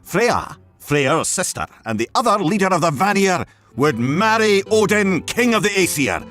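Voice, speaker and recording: A man's voice: sits high, big pitch swings, moderate pace, a bit bright. A man narrating a scripted mythological tale in a theatrical, emphatic, dramatic storytelling style. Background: background music.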